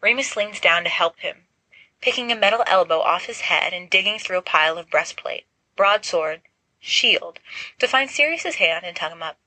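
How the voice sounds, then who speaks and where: A woman narrates a story with expressive, scripted delivery. Her voice is bright and forward, and the sound is close and dry, with hardly any room to it. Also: fairly low pitch, moderate pace, dry recording, poor audio. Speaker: fan fiction audiobook reader.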